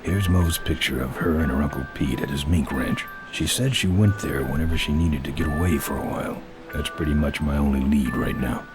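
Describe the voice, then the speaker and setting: Tough biker lead for a video game: extremely low voice, terse, deadpan delivery, music underneath. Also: male voice, fairly quick, few pauses. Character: tough laconic biker hero, adult man. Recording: music behind the voice.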